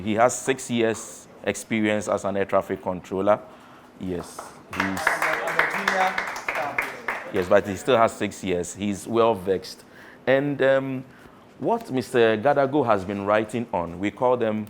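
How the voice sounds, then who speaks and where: Male instructor, lowish register, emphatic explainer addressing students, noisy location sound. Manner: emphatic, instructive, checks understanding with tag questions. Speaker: air traffic controller giving a tour. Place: air traffic control tower.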